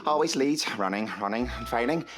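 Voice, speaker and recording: An actor playing an eccentric, excitable rent collector in an audio drama, talking in clipped, repetitive, breathless bursts. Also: male voice, medium pitch, brisk, music in the background, close to an everyday voice. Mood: breathless urgency.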